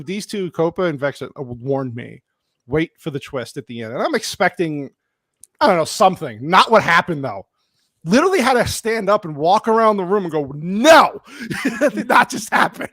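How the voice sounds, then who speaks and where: A man's voice: a little high, big pitch swings, normal speed, a bit bright, no room sound. A man hosting a casual panel podcast who jokes, swears and laughs often. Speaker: podcast host.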